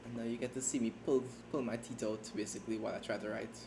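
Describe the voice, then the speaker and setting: A man with a lowish voice talks loosely and self-deprecatingly as he streams his writing session, often stopping to restart a thought. Steady typing sounds clearly from his keyboard underneath him. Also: noisy background. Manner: rambling, halting, self-deprecating. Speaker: streamer and fan fiction writer. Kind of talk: casual livestream chat while writing. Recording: keyboard typing audible behind voice.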